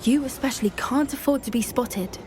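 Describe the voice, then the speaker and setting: A woman's voice: sits high, fairly quick, very noisy, natural voice. An actress playing an earnest, protective young witch student, in video game dialogue. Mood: indignant. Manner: earnest, protective, quietly worried.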